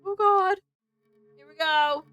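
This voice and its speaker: Slow-paced woman streamer reacting casually. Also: very high-pitched, pushed and bright, faint music behind. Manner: short exclamations, informal reactive commentary. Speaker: game streamer. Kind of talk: casual let's-play reactions.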